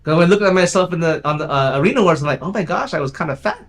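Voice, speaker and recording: A man's voice: a little high, lively intonation, very fast. A man, a film company owner, chatting easily as an interview guest, chuckling often and restarting his sentences with "you know". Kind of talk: casual interview chat.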